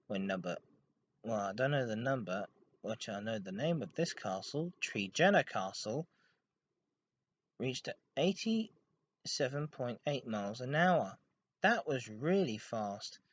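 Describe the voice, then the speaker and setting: Man hosting, dull lo-fi recording. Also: medium pitch. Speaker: podcast host. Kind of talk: informal scripted narration on railway history.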